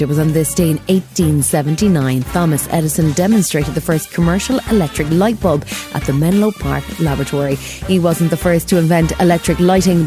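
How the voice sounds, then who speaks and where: A woman hosting a news podcast, reading scripted narration. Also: deep voice. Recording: clear music bed underneath. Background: music.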